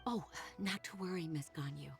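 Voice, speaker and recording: A woman's voice: on the low side, moderate pace, gravelly. A woman voicing a deferential, helpful office colleague in a video game, speaking politely. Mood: courteous. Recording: game music behind voice.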